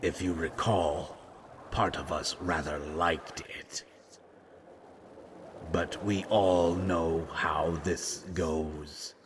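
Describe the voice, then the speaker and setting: A man voicing a fragmented monster in an audio drama, a menacing yet regretful servant who talks haltingly and ominously. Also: low-pitched, steady tone, noisy background. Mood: menacing, teasing. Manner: slow, halting, ominous, speaks of himself as "we". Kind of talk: scripted roleplay audio drama.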